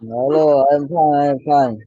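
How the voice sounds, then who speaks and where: A man's voice: a little high, fairly even intonation, slow pace, dark tone, dry recording. A man from Nepal, a guest on a livestream, answering in short, repetitive English phrases. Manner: simple, repetitive English replies. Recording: dull dry lo-fi call audio.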